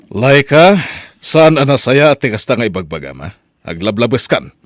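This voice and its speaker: A man's voice: mid-range voice, very animated, moderate pace, dry recording, close to an everyday voice. A man voicing a stern middle-aged father who scolds and lectures his daughter. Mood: scolding. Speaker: voice actor. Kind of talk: audio drama acting.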